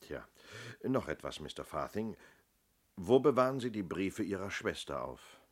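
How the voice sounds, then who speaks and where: An actor playing a methodical, fair-minded police inspector in a German radio play, measured, matter-of-fact and probing. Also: male voice, deep voice, sing-song, breathy edge, heavily acted. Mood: probing, calm.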